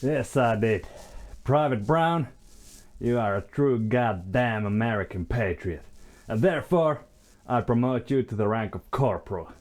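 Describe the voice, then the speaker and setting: A man's voice: mid-range voice, expressive, measured pace, darkish tone, lightly acted. Guy doing a cursing army sarge, barks and sneers, echoey room with long reverb. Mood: solemn. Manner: barking, profane, contemptuous. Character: foul-mouthed bullying American army sergeant. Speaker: drill sergeant.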